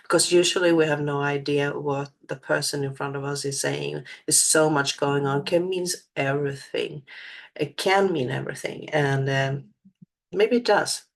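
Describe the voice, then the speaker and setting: A woman's voice: low-pitched, fairly even intonation, normal speed, gravelly. A woman from Sweden with a Swedish accent, a spiritual channeler speaking in a reflective monologue. She often hunts for words and restarts her phrases. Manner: searching for words, many restarts and fillers.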